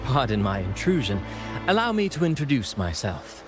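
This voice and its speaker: A man plays a refined game playwright with eloquent, courteous phrasing. His voice sits at an average male pitch and has a touch of stage formality, while music plays underneath. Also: sing-song, normal speed, natural voice. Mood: courteous storytelling. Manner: polite, formal, theatrical. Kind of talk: video game dialogue acting.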